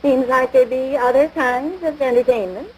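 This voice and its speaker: A woman's voice: sits high, normal speed, darkish tone, natural voice. An actress playing a crabby small-town American housewife and mother in a domestic comedy, nagging in folksy, scornful talk. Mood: exasperated. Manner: folksy ungrammatical speech, scornful, exasperated. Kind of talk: domestic radio-style situation comedy. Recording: noisy vintage broadcast recording.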